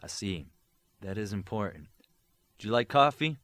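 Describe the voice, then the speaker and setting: A man's voice: fairly low pitch, animated, normal speed, a bit bright, no echo. Man acting a terse, commanding superhero leader. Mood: attentive.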